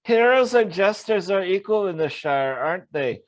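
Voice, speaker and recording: A man's voice: high voice, lively intonation, normal speed, highs cut off. A man voicing a small, dazed, tormented male hobbit with theatrical delivery at an amateur table read. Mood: dazed, wistful.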